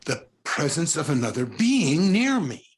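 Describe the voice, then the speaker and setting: A male actor playing a tormented, unraveling concert pianist in a 1940s-style radio drama, stammering and halting in an overwrought way. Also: fairly high pitch, sing-song. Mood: haunted, confessional.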